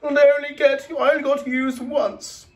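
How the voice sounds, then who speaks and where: A man's voice: very high voice, flat delivery, moderate pace, pitched above the performer's own voice. A man voicing a despairing, self-pitying plastic bag with a melodramatic wail in a children's storybook reading. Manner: wailing, melodramatic. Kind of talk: children's storybook read-aloud.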